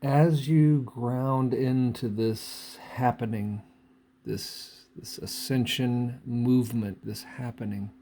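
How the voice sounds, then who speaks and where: Slow, dark-voiced man musing spiritually. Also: mid-range voice, steady tone, slight background noise. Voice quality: frequent throat clearing. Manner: halting, deliberate phrasing with pauses and repetition. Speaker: spiritual speaker. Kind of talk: unscripted spiritual monologue.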